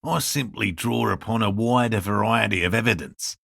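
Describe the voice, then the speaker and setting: An actor playing an earnest, stubbornly hopeful elderly male cryptozoologist in a video game, speaking with a gravelly rasp and a formal, pedantic manner. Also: fairly low pitch, moderate pace, fairly bright tone, dry recording, studio quality, full character voice. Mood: proud, hopeful. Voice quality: gravelly, raspy. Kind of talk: video game character dialogue.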